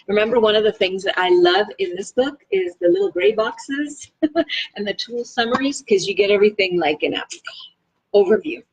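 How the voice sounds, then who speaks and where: A woman reads aloud in a lively voice that moves freely up and down in pitch. Her tone has a slightly husky grain and sits at a medium height. She is enthusiastic and expressive, shifting between reading and casual, chatty commentary. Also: normal speed, highs cut off. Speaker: reader of a self-help book read-along.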